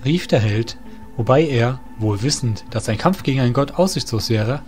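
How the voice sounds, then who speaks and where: A man's voice: medium pitch, animated, normal speed, darkish tone. A man narrating a scripted fantasy audio drama as storyteller. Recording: music under the voice.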